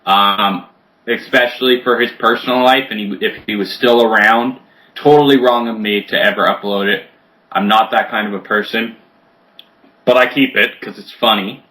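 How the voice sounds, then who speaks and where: A man's voice: fairly low pitch, steady tone, moderate pace, bright, forceful tone, poor audio. A man of twenty chatting as a podcast guest, crude and joking, often laughing as he talks. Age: 20s. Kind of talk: casual chat.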